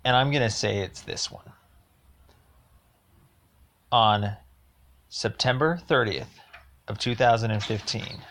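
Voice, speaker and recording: A man leaving a rambling voicemail complaint, speaking in a halting, insistent and emphatic way. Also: lowish voice, steady tone, a bit bright.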